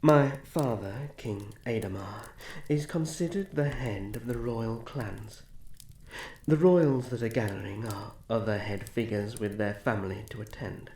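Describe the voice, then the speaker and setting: A man playing a young elf prince in a fantasy ASMR roleplay, formal, soft-spoken, gentle and protective. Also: fairly low pitch, a little slow. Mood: courteous, thoughtful. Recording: roomy echo, faint background noise.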